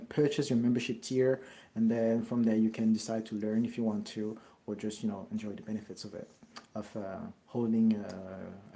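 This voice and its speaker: French-accented young man, mellow voice. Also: medium pitch. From France. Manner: hesitant, many fillers and restarts. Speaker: network marketing creator answering questions. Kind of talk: casual Q&A monologue.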